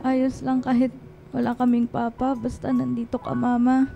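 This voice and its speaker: A protective teenage daughter in a radio drama. She speaks in a high, plaintive, pleading voice with faint music behind her. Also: monotone, normal speed, gravelly, lo-fi sound. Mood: tearful, earnest.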